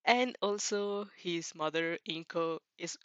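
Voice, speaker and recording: A woman who is a non-native English speaker, hosting a casual fan fiction read-aloud with an informal, halting, giggly delivery and self-corrections. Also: on the low side, smooth, no room sound, highs cut off. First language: not English. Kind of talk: casual reaction chat and story reading.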